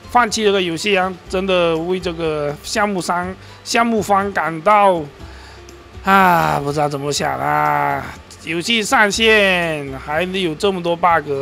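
A man with a fairly high voice talks casually over a screen recording of a game. He rambles and corrects himself as he goes. He sounds mildly exasperated, and soft music plays behind him. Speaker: gaming and crypto-mining video host.

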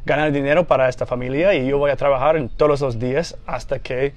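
A young man with an American accent, a native English speaker, talking in a TV studio interview, halting and searching for words, a little nervous and laughing. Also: medium pitch, no echo. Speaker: news anchor guest. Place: small TV studio, seated on couch.

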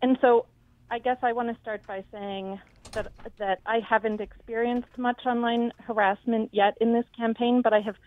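A woman answering in a live radio interview as a candidate, speaking thoughtfully with frequent pauses and ums. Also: a little high, steady tone. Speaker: city council candidate. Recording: tinny phone-like line.

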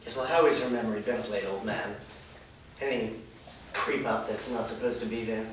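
An actor playing a prissy, menacing henchman of a dark master in a staged fantasy reading, haughty, insinuating and deliberate. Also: on the low side, lightly acted. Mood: condescending. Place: indoor performance room before a small audience. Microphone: far camera. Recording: echoey hall, distant mic, noisy.

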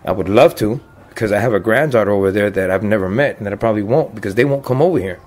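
A man's voice: fairly low pitch, quick pace, a little mellow. A man in his fifties, a deported veteran, speaking plainly and earnestly about his life in a documentary interview. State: choked up.

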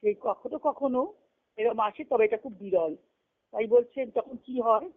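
A woman's voice: a little high, unhurried. A woman giving a spiritual discourse as a teacher, repeating and rephrasing key phrases as she explains. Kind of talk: spiritual lecture, reading quotations and explaining them. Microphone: conference call. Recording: muffled lo-fi conference call audio.

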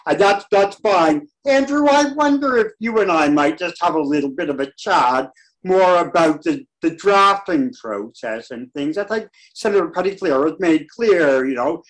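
A man with a slightly high voice hosts a panel over a video call. He is polite and apologetic, feeling his way through his sentences in an informal manner. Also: poor audio. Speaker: moderator of an online panel. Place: indoor room, video call. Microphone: video call microphone.

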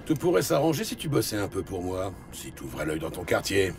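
Gravelly, brash New York cop. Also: male voice, deep voice, big pitch swings, fairly quick, heavily acted. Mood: pressing. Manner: sardonic, intimidating, swearing. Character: brash, foul-mouthed, bullying New York male police detective. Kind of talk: French audio drama acting and noir narration. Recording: loud music bed behind the voice.

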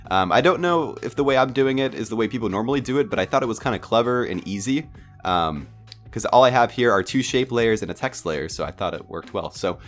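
A young man with a stuffy, congested voice from a cold, talking through a software tutorial at his computer in a relaxed, rambling way with lots of ums and uhs. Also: medium pitch, a little fast, soft background music. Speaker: tutorial host. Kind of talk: casual screen-recorded software tutorial.

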